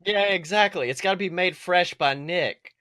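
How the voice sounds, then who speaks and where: A young man co-hosting a podcast in casual comedic chat, riffing in an animated, profane way. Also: high voice, moderate pace, dry recording, studio quality. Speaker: podcast co-host.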